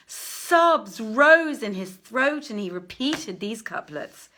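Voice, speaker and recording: A woman reading an old tale as a storyteller, with theatrical, deliberate and expressive literary narration. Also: a little high. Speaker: storyteller, reader.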